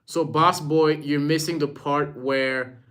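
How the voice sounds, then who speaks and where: A man's voice: a little high, steady tone, slow, fairly bright tone. Casual young man chatting on a live stream. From Rwanda. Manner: informal, slangy, repeats himself.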